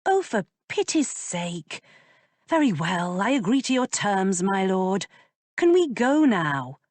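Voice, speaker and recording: A woman voicing a quick-tempered, sharp-tongued young lady who speaks in a tart, exasperated way. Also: medium pitch, sing-song, no room sound, pitched above the performer's own voice.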